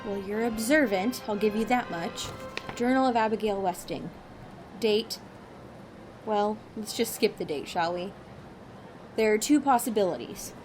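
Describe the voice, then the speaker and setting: A woman's voice: mid-range voice, a little slow, pauses often, darkish tone, soft background music, natural voice, low-quality recording. A woman playing a self-possessed, sceptical heroine in an audio drama, with a dry, articulate manner.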